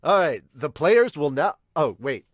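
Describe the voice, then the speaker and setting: Excitable sweary man in a dry recording. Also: a little high, unhurried, no echo. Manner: sweary, excitable fan talk. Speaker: podcast host reacting to a manga. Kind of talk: casual reaction and amateur manga dub read-along.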